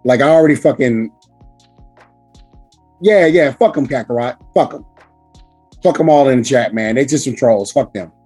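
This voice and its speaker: A man hosting a casual podcast chat with a hoarse, raspy voice from screaming, talking loudly and emphatically with lots of swearing. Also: mid-range voice, expressive, normal speed, long pauses, faint music behind. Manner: loud, profane, emphatic. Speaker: podcast host.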